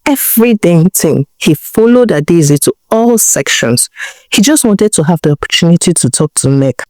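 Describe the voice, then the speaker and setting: A woman's voice: fairly low pitch, darkish tone, no room sound. Nigerian woman storyteller, dry close sound. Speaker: storyteller, narrator. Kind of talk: narrated folktale storytelling.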